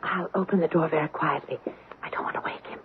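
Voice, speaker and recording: An anxious young mother in a haunted-house radio play, played by an actress. She speaks haltingly, and the sound is narrow and tinny over a clear layer of noise. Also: low voice, fairly even intonation, natural voice. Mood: uneasy. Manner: hesitant, halting, stammering. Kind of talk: radio drama acting. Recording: tinny, noisy old radio broadcast.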